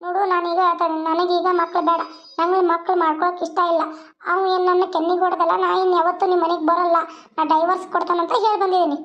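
A defiant young wife with a North Karnataka accent, acted by a woman, pushing back in a whiny tone. Her voice is very high and her words come quickly. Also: flat delivery, dull audio. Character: stubborn young wife refusing children.

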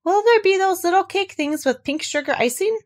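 A woman's voice: extremely high pitch, animated, brisk, voice pushed up. A woman voicing a simple, anxious, hopeful male toy bear in a storybook, earnest and singsong. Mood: hopeful wonder.

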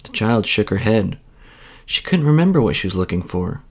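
A man reads a children's bedtime story aloud. His voice is creaky, and his delivery is measured and expressive. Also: lowish voice.

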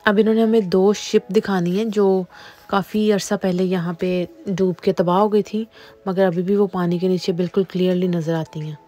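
A woman vlogger calmly narrating a boat tour, explaining the sights as she goes. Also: on the low side, normal speed. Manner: calm explanatory voice-over, mixing in English phrases. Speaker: travel vlogger. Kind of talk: travel vlog narration. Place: outdoors on a tour boat on a lake.

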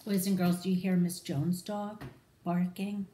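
A middle-aged woman reading a picture book aloud to children in an expressive, direct way. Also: lowish voice, unhurried, echoey room, slight background noise. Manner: animated, addressing children directly. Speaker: storyteller for children. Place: room in a home, indoors.

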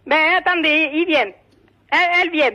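A woman's voice: high voice, normal speed, sharp tone. A woman in a spontaneous phone conversation, giving brief, plain, credulous replies. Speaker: prank call recipient.

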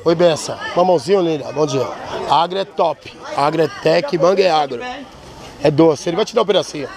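Brazilian man hawking fruit, loud crowd. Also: fairly high pitch, brisk. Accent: Brazilian Portuguese. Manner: chanting, repetitive sing-song hawking. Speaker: market fruit vendor. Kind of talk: street market sales patter. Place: busy outdoor street market under awnings. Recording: loud crowd noise in open-air market. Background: loud market crowd.

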